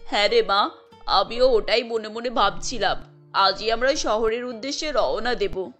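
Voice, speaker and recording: A humble mother from a poor village in a cartoon tale. The voice is fairly high, gentle and plaintive, with music behind it. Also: a little slow. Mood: anxious, gentle. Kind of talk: cartoon story dialogue. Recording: music bed under the voice.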